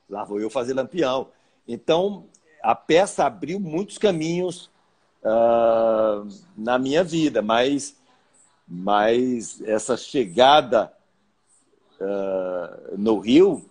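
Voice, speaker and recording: An older man from Paraíba with a Northeastern Brazilian accent, telling his career story in an unhurried, reflective way as an interview guest. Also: mid-range voice, a little mellow, breathy edge. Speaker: guest actor.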